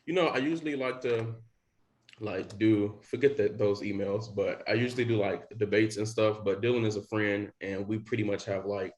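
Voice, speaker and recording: A man's voice: lowish voice, steady tone, normal speed, a little mellow. A young man hosting a podcast in casual chat, rambling with lots of fillers. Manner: rambling with many likes and you knows. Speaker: podcast host.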